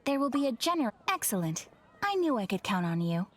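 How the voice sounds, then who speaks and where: Courteous, higher-voiced guild receptionist. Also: female voice, big pitch swings, fairly quick. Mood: encouraging, formal. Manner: polite, formal, composed, helpful. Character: courteous young female adventurers' guild receptionist. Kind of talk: scripted video game character dialogue.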